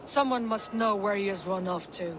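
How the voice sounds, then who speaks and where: Actress as a bossy, sharp-voiced Norse overseer woman. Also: mid-range voice, moderate pace, a little hiss or hum, lightly acted. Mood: curt, commanding. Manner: curt, scornful, commanding. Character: gruff, bossy Norse female camp overseer. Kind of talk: video game voice acting.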